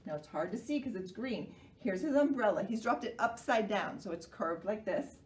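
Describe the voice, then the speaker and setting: Animated American woman hosting children's storytime, roomy sound. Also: mid-range voice, moderate pace. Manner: animated, simple explanations with questions to young children. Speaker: children's library storytime host. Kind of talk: children's storytime presenting and read-aloud. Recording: roomy, distant microphone, faint noise.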